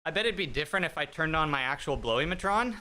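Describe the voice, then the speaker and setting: Thirties male streamer, a bit high and bright, joking casually with chat over a desk mic. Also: lively intonation, fast. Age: 30s. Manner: joking, self-deprecating, chatty; talks while riding an indoor bike. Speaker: fitness streamer. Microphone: streaming desk mic with noise gate.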